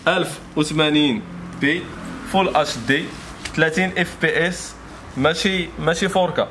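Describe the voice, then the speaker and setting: A man's voice: on the high side, normal speed. A man in his thirties with a Moroccan accent presenting products informally in a shop, with repetitive salesman patter. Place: small motorbike accessory shop, indoors. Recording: clearly noisy shop ambience.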